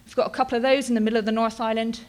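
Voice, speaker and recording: A woman's voice: on the high side, little pitch movement, very fast. British woman giving a chatty conference talk. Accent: British English. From England, Bristol. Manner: casual and chatty, frequent ums and tag questions. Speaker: postdoctoral researcher presenting. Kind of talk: conference presentation.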